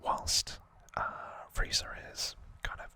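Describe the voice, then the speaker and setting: A man hosting ASMR, explaining trivia in a soft unvoiced whisper with an informal, halting delivery that often corrects itself. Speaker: ASMR host.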